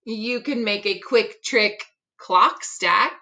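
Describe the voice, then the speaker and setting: A woman's voice: mid-range voice, takes it slowly, sharp tone, a little hiss or hum. A woman voicing a playful, cheerfully bossy male fox from a children's picture book, coaxing in a sing-song way. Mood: eager. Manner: coaxing, sing-song tongue-twister delivery.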